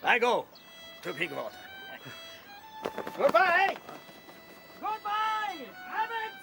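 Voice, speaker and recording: High, gravelly foreign-accented seabird. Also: male voice, huge pitch swings, takes it slowly, loud music behind the voice, heavily acted, low-quality recording. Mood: gleeful farewell. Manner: broken English, exclamatory, chuckling. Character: boisterous male seabird with a foreign manner of speech. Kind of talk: radio play acting.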